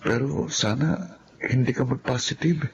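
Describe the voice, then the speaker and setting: An actor playing an evasive, hesitant young boyfriend in a radio drama. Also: male voice, mid-range voice, unhurried, vocal fry, husky, exaggerated voice. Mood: uneasy. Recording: muffled lo-fi audio with faint noise.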